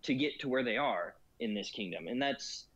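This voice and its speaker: A man's voice: mid-range voice, expressive, normal speed, fairly bright tone, breathy edge, low-quality recording. A man chatting casually as a guest about a strategy game, rambling with many fillers and frequent laughs. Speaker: guest in gaming discussion. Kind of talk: casual chat.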